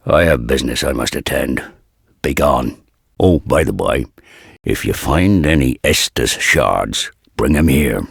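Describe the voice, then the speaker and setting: An actor playing a burly, humble old blacksmith in a dark fantasy video game, with a gravelly, husky voice and slow, archaic, folksy phrasing. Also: male voice, a bass voice, dry recording, studio quality, exaggerated voice. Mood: stern, then helpful.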